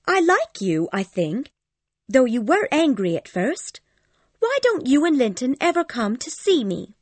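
A man voicing an eager, innocent, headstrong teenage girl in storybook dialogue, bright and insistent. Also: extremely high pitch, huge pitch swings, measured pace, dry recording, pitched above the performer's own voice, heavily acted. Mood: curious, friendly.

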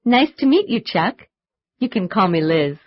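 A woman voicing Liz, a friendly woman in a scripted English textbook dialogue, enunciating clearly and carefully for learners. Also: mid-range voice, very animated, moderate pace. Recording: muffled lo-fi tape.

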